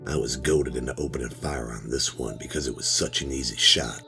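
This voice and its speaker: Man voicing a very deep-voiced folksy middle-aged storyteller. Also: brisk. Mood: hushed, uneasy. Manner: folksy, rambling, conversational asides. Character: laid-back middle-aged male metal detector hobbyist recounting a strange find. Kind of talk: first-person horror story narration, conversational. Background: music.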